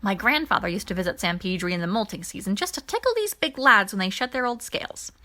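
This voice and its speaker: A woman voicing a jaunty, boastful older alien gentleman in an audiobook, telling nostalgic stories with theatrical flourish. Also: medium pitch, very animated, quick pace. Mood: fond, boastful.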